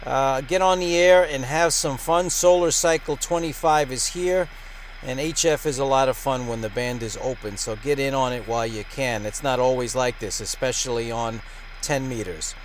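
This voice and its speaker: A man's voice: medium pitch, normal speed, a little hiss or hum, poor audio. A man hosting a ham radio channel in an upbeat, emphatic announcer-like way. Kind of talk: upbeat vlog presenting.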